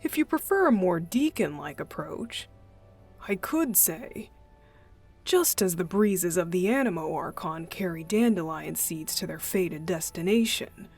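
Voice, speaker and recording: A woman voicing a playful, mischievous young male deacon in an unhurried, teasing ASMR roleplay style. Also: lowish voice, expressive, darkish tone, faint music behind. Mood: amused, teasing. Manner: teasing, unhurried, chuckling, breathy asides.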